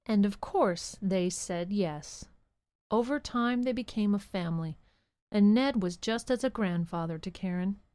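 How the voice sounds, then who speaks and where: A woman narrating an audio drama as a gentle, earnest storybook storyteller. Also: medium pitch, a little slow, dry recording, lightly acted. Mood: warm storytelling. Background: music.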